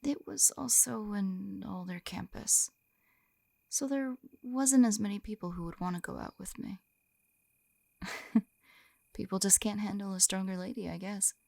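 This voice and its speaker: A young woman playing a gentle, shy lamia on a first date in an intimate ASMR roleplay, soft-spoken and often whispering, hesitant and tender, close to the microphone. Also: a little slow, long pauses, no echo. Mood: shy, confiding. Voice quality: soft, breathy whispering mixed with low murmurs. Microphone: close-up microphone, dry sound.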